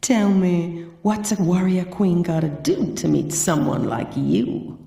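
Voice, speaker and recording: Low slow husky warrior queen, flirty and teasing, roomy sound. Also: mellow, full character voice. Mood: flirtatious. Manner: sultry, flirtatious drawl. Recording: roomy echo.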